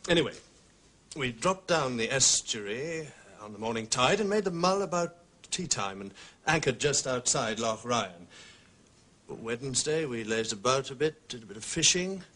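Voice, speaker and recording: An actor playing an indignant, blustering man under questioning in a radio drama, with a husky voice. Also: mid-range voice, very animated, normal speed, pushed and bright. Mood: defensive, recounting. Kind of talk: British radio drama acting.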